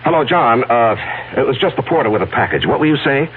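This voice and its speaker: An actor playing an anxious, earnest young bridegroom with a secret, speaking haltingly in a vintage radio drama. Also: male voice, mid-range voice, big pitch swings, natural voice. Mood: flustered, polite. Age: young adult. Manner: hesitant, halting, earnest confession. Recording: muffled, noisy old radio broadcast.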